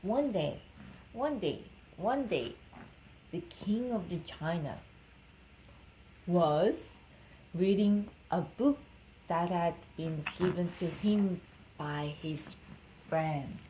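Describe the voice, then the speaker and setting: Korean-accented mother reading aloud with lively swoops. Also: fairly low pitch, slight background noise, low-quality recording. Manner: affectionate, cooing, with drawn-out repeated words. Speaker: mother reading a picture book to her baby. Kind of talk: read-aloud storytelling mixed with playful baby talk.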